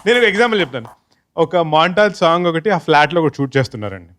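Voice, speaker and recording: Telugu guy bit high voiced, chatting in a group interview, telling stories with english thrown in. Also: big pitch swings, quick pace, background noise. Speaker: film team member being interviewed.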